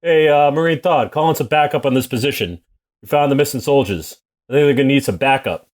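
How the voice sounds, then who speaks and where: A man playing a gruff, practical army captain with a commanding, matter-of-fact manner in a comedic radio play. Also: mid-range voice, fast talker, natural voice.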